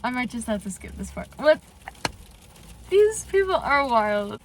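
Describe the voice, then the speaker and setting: A woman with a stuffy, nasal voice from a cold, hosting a casual reaction vlog from inside her car, excitable and full of filler words. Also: on the high side, a little slow, a little hiss or hum. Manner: gushing and excitable, many filler "like"s. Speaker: channel host reacting to fan fiction.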